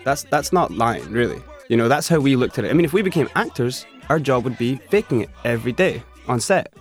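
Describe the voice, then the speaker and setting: Animated emphatic man, musician guest. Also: medium pitch, fairly quick, faint music behind. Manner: animated, emphatic, restarts often. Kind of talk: interview conversation.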